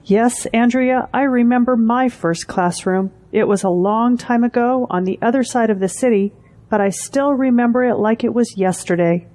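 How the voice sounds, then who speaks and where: A woman playing a seasoned school principal in an audio drama, formal, measured and authoritative. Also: fairly high pitch, dark tone, lightly acted. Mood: gracious, nostalgic.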